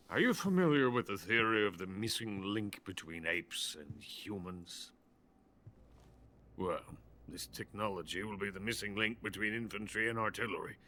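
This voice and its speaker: An actor playing a drunk, boastful older Soviet weapons scientist in a video game cutscene. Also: male voice, on the low side, a bit bright. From Soviet Union. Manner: pompous, self-important, bitter.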